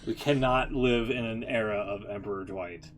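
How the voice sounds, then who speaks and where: A man's voice: on the low side, normal speed, soft background music. A man streaming casual, off-the-cuff reactions to game stories in a sardonic, mock-exasperated manner.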